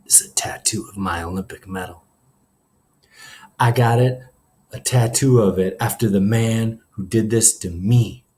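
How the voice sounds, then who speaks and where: A man's voice: on the low side, a little slow, dark tone, lightly acted. A man voicing a stern but caring male track coach in a story, speaking with confronting intensity. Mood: bitter, confessing.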